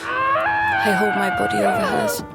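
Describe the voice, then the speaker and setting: Scared child narrator in an audio drama: high voice, slow halting delivery, dramatic music underneath. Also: female voice, animated. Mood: helpless dread. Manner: halting, repeating words, breathy urgency. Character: terrified child narrator protecting younger sibling at sea. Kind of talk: first-person present-tense dramatic narration. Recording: loud dramatic music behind.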